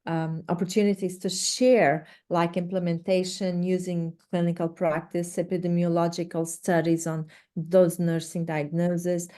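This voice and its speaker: A woman from Portugal, a native Portuguese speaker with a Portuguese accent, presents an instructional webinar in a halting, hesitant way with many pauses. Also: lowish voice, little pitch movement, warm tone. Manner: hesitant, halting delivery with frequent pauses and repeated words. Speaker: research director presenting a webinar.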